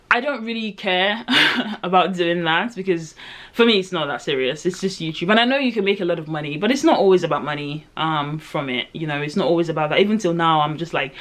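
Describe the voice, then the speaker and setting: A woman in her late twenties talking to her camera while doing her makeup, chatty and reflective, rambling with lots of "um" and "like", with sudden excited jumps in pitch. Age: late 20s. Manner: chatty, rambling, heavy use of fillers like "um" and "like". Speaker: vlogger. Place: at home in front of her own camera.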